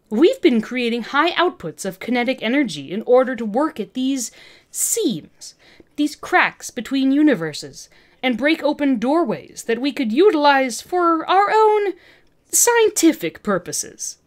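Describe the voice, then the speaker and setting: A woman's voice: a little high, voice pushed up. A woman voicing an arrogant, agitated woman scientist villain with an intense, condescending manner in a fan fiction reading.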